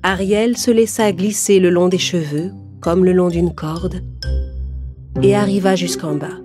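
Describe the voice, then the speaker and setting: A woman's voice: fairly low pitch, fairly even intonation, unhurried. A woman narrator reading a scripted fairy tale for children. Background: background music.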